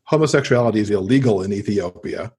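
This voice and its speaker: A man with an American accent and a fairly low, slightly husky voice talks as a podcast guest. He chats casually and enthusiastically, like a keen reader sharing favorites. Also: animated, fast talker, darkish tone. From United States. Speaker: podcast guest, book lover. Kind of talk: casual interview chat, recommending books.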